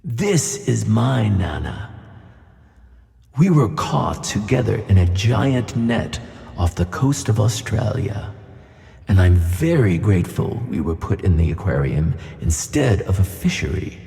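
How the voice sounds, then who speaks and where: A man playing a gentle, wise magical tuna fish who reassures his friend in a children's audio play. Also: fairly low pitch, sing-song, unhurried, vocal fry, long reverb tail, exaggerated voice. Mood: confiding.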